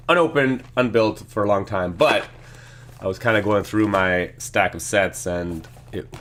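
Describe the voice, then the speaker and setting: A man with a hoarse, congested voice from a sinus infection, casually chatting through a live stream while building a set and reading the chat. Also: deep voice, fairly bright tone, slight background noise. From Canada. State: losing his voice from a sinus infection.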